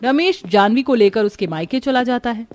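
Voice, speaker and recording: A woman's voice: a little high, lively intonation, moderate pace, a little mellow, dry recording, faint music behind. A woman narrating a scripted moral story as a storyteller.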